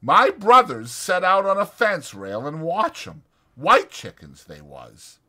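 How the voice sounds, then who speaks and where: A man's voice: sits high, wildly swooping pitch, takes it slowly, bright, forceful tone, voice pushed up, exaggerated voice. A man voicing a lonely, bitter, scornful stable hand with brooding, emphatic, folksy rural speech in a dramatized audiobook. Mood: dreamy, wistful. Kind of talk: dramatized audiobook character acting.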